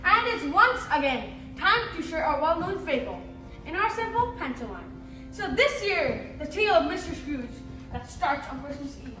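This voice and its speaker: A woman's voice: high-pitched, very animated, normal speed, sharp tone, faint music behind, full character voice. A woman playing the narrator of a Christmas pantomime, declaiming rhymes to an audience. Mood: presenting. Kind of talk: rhyming stage narration. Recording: very echoey, noisy, distant stage recording.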